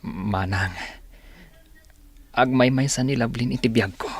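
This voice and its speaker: A man's voice: mid-range voice, unhurried, a little hiss or hum, lightly acted. A man acting a melancholy, stubbornly devoted Filipino lover in a radio drama. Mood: melancholy, pining.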